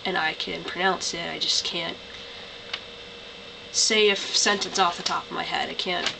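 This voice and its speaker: A woman's voice: lowish voice, fairly even intonation, normal speed, vocal fry. Chatty young Canadian woman, tired. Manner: chatty, rambling, lots of "like" and "um". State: tired, voice lower than usual. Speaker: vlogger. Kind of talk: casual accent-tag vlog. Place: bedroom. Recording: noisy home webcam recording.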